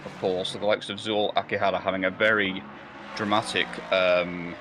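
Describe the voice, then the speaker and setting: A man's voice: low voice, little pitch movement, moderate pace, noisy background. A man commentating a sim race live, rambling and hesitant, with many fillers and restarts. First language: not German or Belgian.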